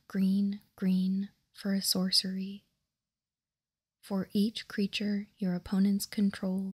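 Slow, whispering ASMR woman. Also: mid-range voice. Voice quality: soft unvoiced whisper, breathy. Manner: slow, deliberate, hushed. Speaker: ASMR host. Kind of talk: ASMR, reading card text aloud.

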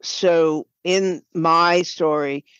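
A woman speaking as a writer in a podcast interview, explaining her work haltingly with broken-off sentences. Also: low-pitched, steady tone, a bit bright, smooth, no room sound, dull audio. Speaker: guest, writer and audio drama producer.

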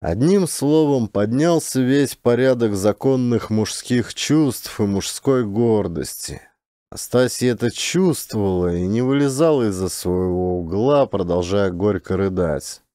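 A male narrator reads literary prose in a dark, mellow and slightly low voice. The recording is close and dry, as in an audiobook. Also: lively intonation, unhurried, no echo.

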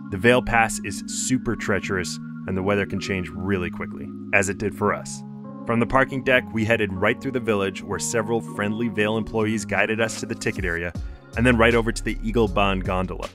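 A young American man narrating his ski trip vlog in an upbeat, informative voice-over. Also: lowish voice. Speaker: vlogger. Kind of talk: scripted travel narration. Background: light background music.

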